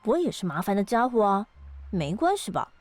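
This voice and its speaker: A woman's voice: medium pitch, sing-song, brisk, darkish tone, no room sound, lightly acted. A woman playing a mysterious ancient being of the lake in an audio drama, casual and teasing with a wistful side. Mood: playful teasing. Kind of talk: audio drama dialogue acting. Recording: studio voice over background music.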